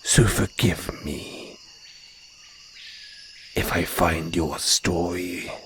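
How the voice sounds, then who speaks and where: Man playing a slow, gravelly, deep-voiced naga. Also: steady tone, a little hiss or hum, heavily acted. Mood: suspicious, guarded. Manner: slow, deliberate, hushed delivery with long pauses. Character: wary, territorial male naga, softening into flirtatious care. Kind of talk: intimate audio roleplay acting. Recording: jungle insect ambience behind voice. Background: crickets and jungle ambience.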